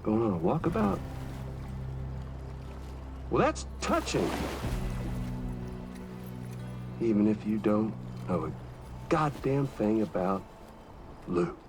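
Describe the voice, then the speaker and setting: An actor playing a vengeful, taunting villain back from the dead in a video game, with a drawling, mocking, theatrical delivery. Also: male voice, lowish voice, very animated, slow pace, long pauses, over music, full character voice. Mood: mocking, cruel. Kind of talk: cinematic video game acting.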